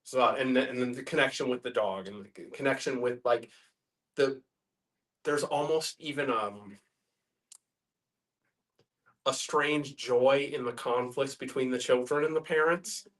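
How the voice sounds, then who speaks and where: A man taking part in a group discussion, reflecting philosophically in a halting way as he works through his thoughts. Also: medium pitch. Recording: group in room, distant mic.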